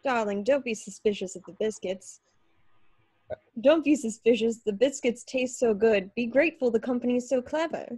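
A woman plays a doting mother in a drama table read. Her voice is fairly high, with a homely, gently teasing manner. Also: moderate pace, slightly rough. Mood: coaxing. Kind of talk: script read on a video call.

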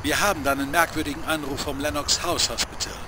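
An actor playing a businesslike police dispatcher in a radio drama, clipped and procedural. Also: male voice, fairly high pitch, fairly even intonation, quick pace, natural voice. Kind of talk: modern horror radio drama. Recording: very noisy police radio transmission.

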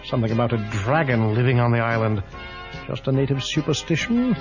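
A man's voice: mid-range voice, brisk, lightly acted. A suave secret agent, played by a man, trading dry quips. His voice sits in an ordinary middle register. It comes through a muffled old tape with music under it. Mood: wry. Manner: dry, wisecracking asides. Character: suave British secret agent, cool and wry. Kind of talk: 1980s storybook record acting.

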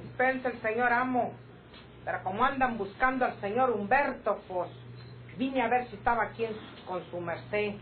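A woman's voice: fairly high pitch, moderate pace, lightly acted. Casting: woman playing young rural Mexican servant, sassy and folksy, old noisy radio serial sound. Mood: meek, deferential. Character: sassy, sharp-tongued young Mexican ranch-house maid. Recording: tinny, noisy old radio drama recording.

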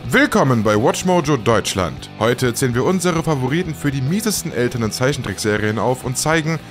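A man's voice: mid-range voice, expressive, brisk, faint music behind. A man narrating a scripted countdown list as its host.

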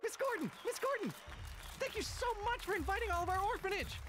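An actor voicing an eager, starstruck young orphan boy, gushing and wide-eyed, in an animated film. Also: very high-pitched, a little fast, over music.

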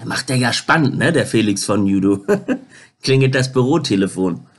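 A man in his thirties rambling through an informal phone interview, halting and repeating his words, recorded indoors at home. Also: mid-range voice, expressive. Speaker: YouTuber making a phone call on camera.

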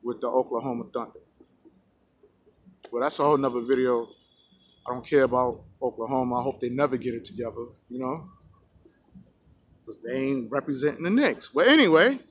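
A man in his forties vlogging outdoors into a phone held at arm's length, giving excited, preachy sports opinions and calling out "y'all" to his viewers. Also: mid-range voice, normal speed, pauses often, slightly rough. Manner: hyped, preachy, keeps saying "y'all". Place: outdoors by a rocky hill in a park. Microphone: phone selfie camera at arm's length.

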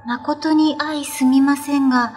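A woman's voice: high-pitched, steady tone, takes it slowly, over music. A woman playing a mysterious, polite ghost woman in a radio drama, speaking hesitantly and very politely. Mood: eerie, pleading.

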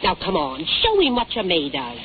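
A woman's voice: medium pitch, wildly swooping pitch, normal speed, gravelly. An actress playing a stern, bluntly scolding, no-nonsense woman in a 1940s radio drama. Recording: tinny, hissy 1940s radio recording.

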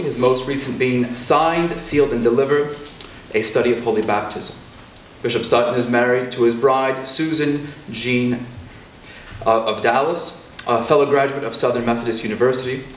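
A young American man, a priest, giving a formal introduction that is partly read out. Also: mid-range voice. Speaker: officiating priest introducing the preacher. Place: church sanctuary, indoors. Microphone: camera several metres away. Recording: echoey church, distant mic, faint noise.

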